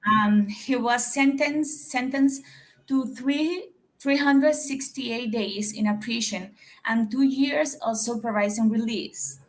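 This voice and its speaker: A woman with a Dominican Spanish accent and a fairly high voice speaks halting, careful English on a video call. She reads slowly and pauses often to find her words. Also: poor audio. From Dominican Republic. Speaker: caller.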